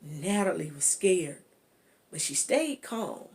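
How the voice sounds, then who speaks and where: A woman reading a children's chapter book aloud as an amateur narrator, in a deliberate manner. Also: lowish voice, sing-song, a little mellow. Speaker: amateur audiobook reader.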